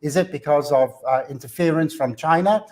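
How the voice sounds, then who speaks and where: A man's voice: on the high side, fairly quick, a little mellow. A man, a guest commentator on markets, speaking earnestly in a live business interview with frequent fillers and restarts. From Hong Kong.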